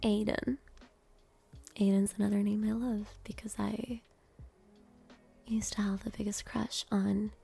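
A woman hosting ASMR, speaking softly and gently, often in a breathy whisper, deliberately repeating words as she reads out and greets. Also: medium pitch. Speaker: ASMR host. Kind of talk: ASMR, reading out names and greeting each.

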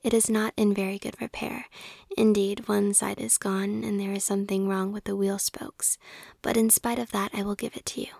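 A woman's voice: medium pitch, flat delivery, normal speed, a little mellow, no room sound, clean studio sound. A woman reading aloud in a whisper, voicing a pompous, self-satisfied male miller who lectures on friendship. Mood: smug. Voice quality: whispered, gravelly. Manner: smug, lecturing.

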